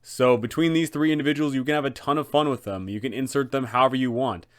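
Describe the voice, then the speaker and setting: A man's voice: mid-range voice, steady tone, quick pace. A man hosting an explainer for game masters, giving guiding commentary on role-playing. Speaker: host, tabletop game guide.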